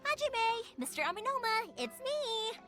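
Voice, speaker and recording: Very high-pitched, cheerful young fireworks maker. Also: female voice, big pitch swings, measured pace, full character voice. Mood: bright, eager. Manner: chatty, breezy, eager. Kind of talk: scripted anime-style video game voice acting. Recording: game dialogue under loud music.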